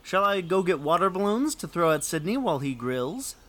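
A man's voice: sits high, big pitch swings, brisk, a bit bright. A man playing a grumbling, sarcastic sidekick in a comedy skit, with a dry, complaining delivery. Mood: dry, mocking. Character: grumbling, sunburned, sarcastic male sidekick avoiding sun. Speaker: comic sidekick.

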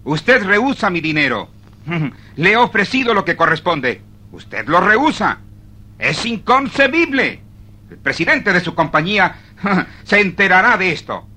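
Radio theatre role: irate male customer, highish husky voice, haughty, swooping indignantly. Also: sing-song, measured pace, bright, forceful tone, exaggerated voice. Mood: indignant, furious. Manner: haughty, exclamatory, sputtering. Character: irate, indignant, hot-tempered customer arguing with a clerk. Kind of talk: 1970s-80s Spanish-language radio theatre acting.

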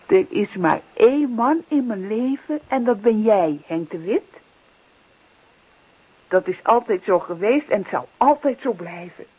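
Husky, devoted, coaxing wife. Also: female voice, medium pitch, lively intonation, a little slow. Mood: earnest, loving. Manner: earnest, coaxing. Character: devoted, affectionate wife Annie, apologetic and coaxing. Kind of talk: Dutch radio play acting. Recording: muffled old radio recording.

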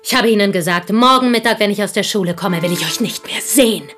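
An actress playing a bitter, confessing village schoolteacher in an intimate German radio play. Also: female voice, medium pitch, fast talker, fairly bright tone, faint music behind, natural voice. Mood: indignant, hurt. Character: bitter, confessing French village schoolteacher, woman, jealous confidante.

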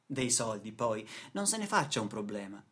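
A man's voice: lowish voice, sing-song, moderate pace, fairly bright tone. A man playing a condescending, evasive engineer boss in a radio play, speaking in a formal, officious way. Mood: smug, dismissive.

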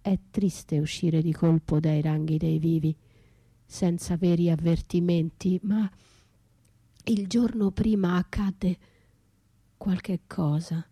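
Actress as slow, anguished battered wife in monologue. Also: female voice, fairly low pitch, steady tone, dark tone, breathy edge, exaggerated voice. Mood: sombre, recounting. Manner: halting, repeats words, drifts into whispers. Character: battered wife left for dead, anguished, bitterly lyrical. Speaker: stage and radio actress performing her own monologue. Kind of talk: radio theatre monologue, intimate and poetic. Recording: roomy echo, faint music behind.

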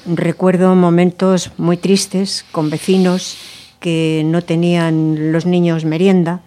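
A woman's voice: on the low side, warm tone. A woman from Madrid, interviewed about her childhood, telling it fluently and reflectively. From Madrid, Spain. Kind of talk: conversational testimony.

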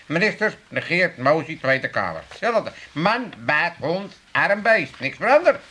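A man's voice: on the high side, very animated, measured pace, sharp tone, husky, exaggerated voice. An actor playing a gullible, scheming, muddled old working-class father with an Amsterdam accent in a comic radio play. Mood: muddled, indignant. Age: older.

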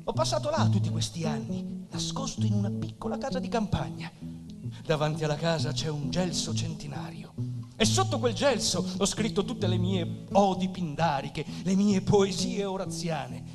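A man acting on stage as a proud, courteous monarchist village doctor and poet, confiding in a deliberate, formal, theatrical way. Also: sits high, very animated. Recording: hall reverb with guitar music behind. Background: live Portuguese guitar music.

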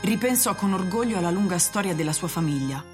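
A woman narrator reading a literary radio drama in a measured storytelling manner. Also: on the low side, expressive, soft background music.

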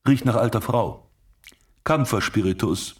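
A man playing a gruff, skeptical, grumbling police commissioner in a German radio play, blunt and exclamatory. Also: lowish voice, unhurried, darkish tone.

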